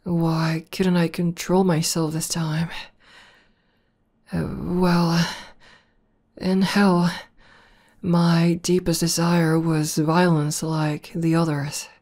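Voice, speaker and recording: Slow, low, bashful demon girlfriend, acted by a woman. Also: little pitch movement. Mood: explaining, hesitant. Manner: soft, hesitant, bashful, breathy dips into whisper. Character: tough tomboy demon girlfriend, shy, tender and devoted. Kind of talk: intimate ASMR girlfriend roleplay.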